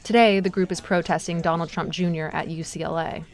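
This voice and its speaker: A woman's voice: lowish voice, steady tone, brisk, crowd noise. An American woman reporter delivering scripted news narration.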